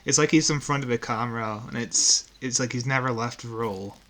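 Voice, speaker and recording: A man's voice: medium pitch, a little fast, bright, forceful tone. Chuckling male co-host, casual chat. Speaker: podcast co-host. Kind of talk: casual chat reviewing audio dramas.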